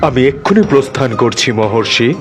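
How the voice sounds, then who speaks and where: A man's voice: medium pitch, sing-song, a little fast, natural voice. A man playing an earnest, troubled king in a dramatic, declamatory cartoon fairy-tale style. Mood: resolute. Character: earnest, distressed king devoted to his subjects. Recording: music behind the voice.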